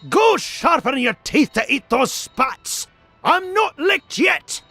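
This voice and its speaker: A man voicing a grumpy, flustered old rich uncle duck in a cartoon comic dub, scolding and exasperated with drawn-out emphasis. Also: very high voice, big pitch swings, gravelly, sharp tone, dry recording, soft background music, heavily acted. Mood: indignant.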